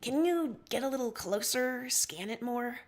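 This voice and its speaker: A woman's voice: on the high side, animated, a little slow. A woman voicing a commanding, wary alien ruler in a story, direct and sceptical.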